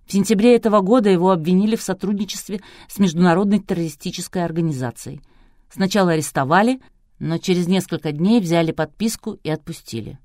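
A woman's voice: on the low side, darkish tone, no room sound, studio quality, natural voice. Woman acting a firm, resolute man. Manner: measured, firm, assured. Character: quiet, confident, resolute man delivering grave news.

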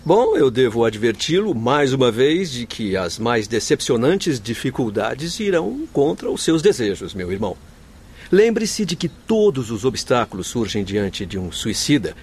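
An actor playing an earnest, courteous doctor spirit in a Brazilian Portuguese radio drama, speaking formally and eloquently. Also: male voice, mid-range voice, a little mellow, slightly rough.

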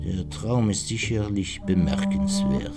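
An actor playing a courteous, shrewd private detective in a radio play, speaking in a polite, measured and formal way. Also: male voice, sits low, lively intonation, over loud music. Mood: polite, composed.

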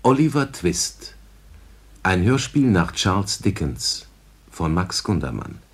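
A man formally reading the credits of a 1950s radio play in a deliberate announcer's manner. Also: sits low, sing-song, breathy edge.

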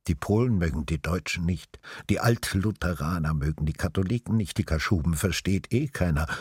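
An actor playing a solemn, ironic narrator of a radio drama, speaking in a deliberate theatrical way. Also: male voice, low-pitched, darkish tone, slightly rough, no room sound, studio quality, heavily acted. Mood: dryly ironic. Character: wry, solemn storyteller of the good old days. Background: music.

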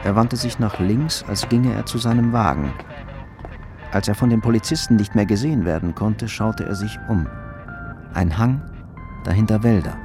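A man narrating a crime radio play in a sober, measured storytelling manner. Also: mid-range voice.

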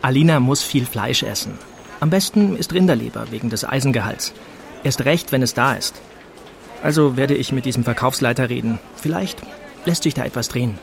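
A man's voice: mid-range voice, fairly quick, gravelly, close to an everyday voice. An actor playing a reproachful, anxious young husband and father-to-be who lectures insistently in a radio play. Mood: insistent, scheming.

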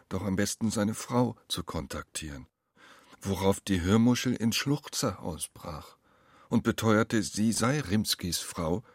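A man narrating a literary radio drama as a wry, measured storyteller. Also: lowish voice, dry recording, studio quality.

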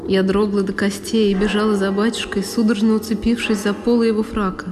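A woman's voice: medium pitch, flat delivery, quick pace, noisy background. A woman giving an emotive, theatrical first-person narration of a literary drama. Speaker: solo performer, narrator. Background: music.

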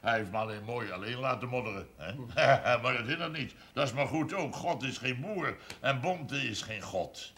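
An actor playing a boastful, middle-aged rich village farmer in a television period drama, hearty, blunt and earthy. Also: male voice, mid-range voice, lively intonation, moderate pace, hardly any pauses, bright, forceful tone. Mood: jovial, wry. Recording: old TV soundtrack with background noise.

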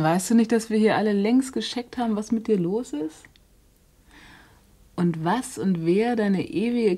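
A woman's voice: medium pitch, dark tone, slightly rough. An actress playing a hard-edged streetwise woman who talks bluntly and coaxingly in slang. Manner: blunt slangy coaxing, slow, drops to a whisper. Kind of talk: radio play acting.

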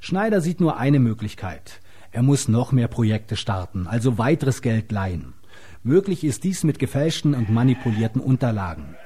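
A man's voice: mid-range voice, very animated, moderate pace, warm tone, breathy edge. A man reading scripted documentary narration.

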